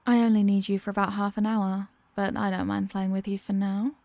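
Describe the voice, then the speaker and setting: Female villain kidnapper played by a woman for ASMR roleplay: creaky low-fry edge, very dry close sound, commanding, teasing, cruel. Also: level tone, a little fast, no room sound, natural voice. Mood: sultry, possessive. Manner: taunting, commanding, hushed threats mixed with mocking teasing. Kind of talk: ASMR audio roleplay, scripted and addressed to the listener.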